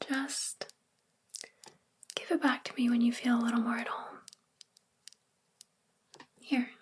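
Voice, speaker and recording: A woman playing a sweet, bookish witch librarian in a soft spoken ASMR roleplay, gentle and soothing in a breathy, whispery voice. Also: fairly high pitch, little pitch movement, slow pace, slight background noise. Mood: kind, reassuring.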